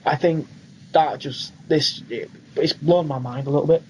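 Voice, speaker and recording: A man's voice: fairly high pitch, normal speed, darkish tone, slight background noise, low-quality recording. A young British man co-hosting a podcast, talking informally and agreeably in casual chat. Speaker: podcast co-host. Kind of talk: casual film and game chat.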